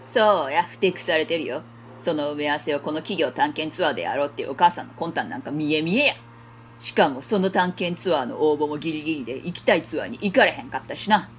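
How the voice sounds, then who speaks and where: Cast a woman as a sullen Kansai schoolboy, low and flat, cheeky retorts. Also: fast, slight background noise. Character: sulky, blunt fourth-grade boy. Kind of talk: amateur web radio drama.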